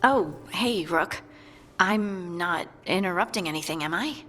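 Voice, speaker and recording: A woman's voice: lowish voice, expressive, measured pace, a bit bright. A woman playing a modest, friendly young scout in a fantasy game, informal and a little tentative. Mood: apologetic. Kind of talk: cinematic game dialogue. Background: music.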